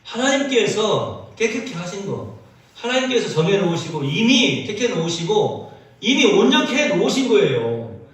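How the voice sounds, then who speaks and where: Lively male pastor, echoey church. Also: sits high, moderate pace. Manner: animated, storytelling with acted quotes. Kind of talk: sermon. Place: church sanctuary. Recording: echoey church sound from a distance.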